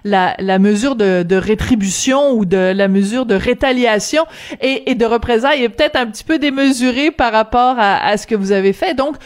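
Higher-pitched woman conducting an interview. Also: moderate pace. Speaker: interviewer, radio host. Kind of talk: conversational interviewing.

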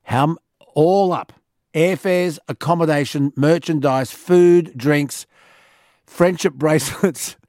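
A middle-aged man hosting a talk radio show, gruff and teasing. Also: fairly high pitch, slow, a little mellow, no echo, studio quality. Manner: gruff, teasing, self-mocking. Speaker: radio host.

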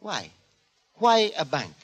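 A man's voice: sits high, huge pitch swings, takes it slowly, a bit bright, husky, full character voice. An actor playing a good-natured, naive Italian immigrant man in a 1940s radio comedy, speaking earnest broken English with an Italian accent. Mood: eager, trusting. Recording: noisy vintage 1940s radio recording.

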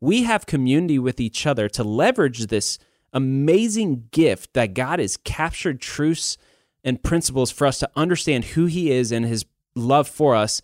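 A man hosts a podcast in an easy, conversational style, addressing listeners like buddies. His voice sits at a middling pitch, and the recording is dry and close, with very little room sound. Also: lively intonation, moderate pace, no room sound. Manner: informal, buddy-like address to male listeners. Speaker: podcast host. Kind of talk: casual conversational podcast talk.

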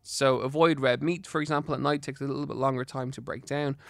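A man's voice: medium pitch, fairly even intonation, fast talker, creaky, fairly bright tone, dry recording, studio quality. An Irish man with a slight lisp hosting a health podcast solo, giving advice in an earnest, encouraging, instructive way. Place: studio.

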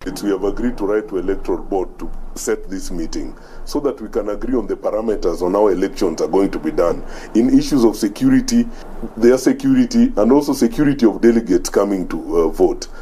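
A man, a football official giving a halting statement with many repeated words. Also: fairly low pitch, warm tone, a little hiss or hum. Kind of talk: news soundbite statement.

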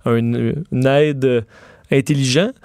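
Un homme, coanimateur de radio, pose des questions réfléchies sur un ton familier. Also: male voice, mid-range voice, steady tone, takes it slowly, darkish tone, smooth, no echo, clean studio sound. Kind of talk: radio studio interview. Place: radio studio.

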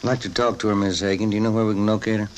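A quick-talking police sergeant played by a man in vintage radio drama, courteous, brief and matter-of-fact. The old recording has clear hiss and clicks. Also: on the low side, fairly even intonation, natural voice. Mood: polite, businesslike. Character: male police sergeant, courteous, quiet-mannered questioner.

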